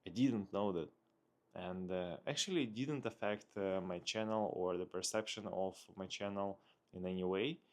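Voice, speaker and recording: A man with a Russian accent, a native Russian speaker, talking casually in an unscripted vlog, thinking aloud with hesitant searching pauses. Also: deep voice. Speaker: vlogger.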